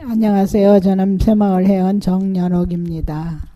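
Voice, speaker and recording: A woman's voice: medium pitch, flat delivery, normal speed, warm tone. An older woman speaking as a modest, self-effacing guest in a conversational radio interview. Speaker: volunteer group member, interview guest.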